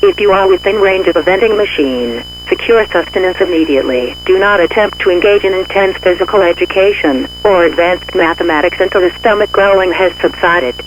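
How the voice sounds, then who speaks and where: A man with a high voice reads an official emergency bulletin in a formal, measured delivery. The audio is dull and radio-like, with a faint hum of noise behind the words. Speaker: emergency alert announcer. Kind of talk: scripted emergency weather bulletin.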